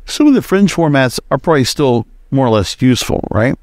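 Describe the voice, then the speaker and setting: A man's voice: medium pitch, big pitch swings, brisk, darkish tone, a little hiss or hum. A man hosting a solo podcast, giving casual, rambling and opinionated commentary. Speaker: podcast host.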